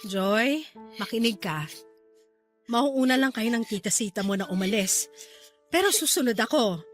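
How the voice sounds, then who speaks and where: High-voiced caring aunt. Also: female voice, expressive, a little slow, faint music behind. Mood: tender, reassuring. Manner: reassuring, affectionate. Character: caring, gentle aunt and small online seller. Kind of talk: Tagalog radio drama acting.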